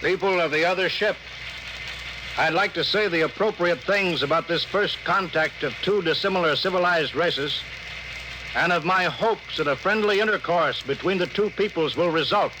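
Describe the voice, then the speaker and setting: An actor playing a stern, authoritative starship captain in a 1950s radio drama, speaking in a clipped, commanding way. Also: male voice, high voice, normal speed, close to an everyday voice. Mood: formal. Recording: noisy old 1950s radio broadcast.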